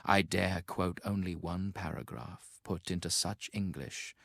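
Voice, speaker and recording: A man narrating a horror story in a theatrical, ominous, deliberate way, with a gravelly voice. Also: deep voice, fairly bright tone, dry recording, studio quality. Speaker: audiobook narrator. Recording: music under the voice.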